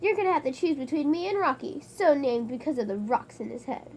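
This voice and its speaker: A woman voicing a jealous young woman who accuses her lover in a shrill, theatrical way. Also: lively intonation, a little fast, darkish tone, a little hiss or hum. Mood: accusing.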